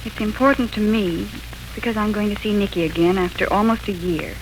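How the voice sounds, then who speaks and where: An actress as a practical grown daughter, brisk and a little defensive. Her mid-pitched voice has period radio polish, with faint noise on the recording. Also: close to an everyday voice. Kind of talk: 1940s radio acting. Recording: noisy 1940s radio drama recording.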